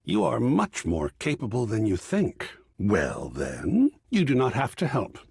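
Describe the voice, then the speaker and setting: Casting: exuberant, pretentious art-object game character, gravelly and husky, florid delivery. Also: male voice, mid-range voice, wildly swooping pitch, measured pace, a little mellow, no echo, studio quality, exaggerated voice. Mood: earnest, courteous. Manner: theatrical, florid, effusive. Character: whimsical, pretentious, exuberant living artwork, an adult male. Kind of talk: video game character acting.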